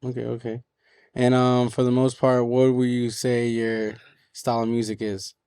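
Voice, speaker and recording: A man with a mellow, smooth voice hosting a casual podcast. He is recorded dry and close. He keeps things easygoing and answers with quick "okay, okay"s. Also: medium pitch, completely flat delivery, no room sound. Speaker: podcast host. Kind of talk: casual interview chat.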